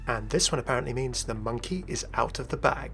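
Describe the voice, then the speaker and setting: A man's voice: medium pitch, brisk, vocal fry, over music. A man presenting explanatory video game commentary as a voice-over. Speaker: video host.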